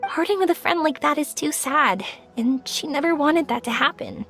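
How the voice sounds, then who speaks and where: Sweet spirited young game heroine, high voice, earnest, bit of music behind. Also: female voice, normal speed, sharp tone. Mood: earnest, compassionate. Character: kind, spirited young woman, caring adventurer and friend. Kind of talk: video game voice acting.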